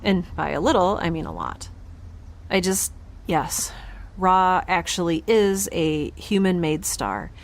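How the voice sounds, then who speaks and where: An actress playing a guarded, flustered young woman in a sci-fi audio drama, halting, defensive and rambling in a naturalistic style. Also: on the low side, lively intonation. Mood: overwhelmed.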